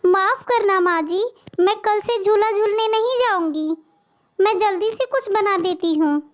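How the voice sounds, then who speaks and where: A woman's voice: very high voice, measured pace, pitched above the performer's own voice. A woman voicing a sweet, dutiful young daughter-in-law bird in a cartoon, polite and eager to please. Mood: gentle, earnest. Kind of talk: Hindi moral-story cartoon acting.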